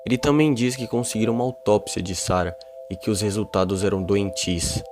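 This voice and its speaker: A man's voice: on the low side, fairly even intonation, fairly quick. A man with a Brazilian accent narrating and explaining a horror video in an informal way. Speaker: YouTube narrator. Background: music.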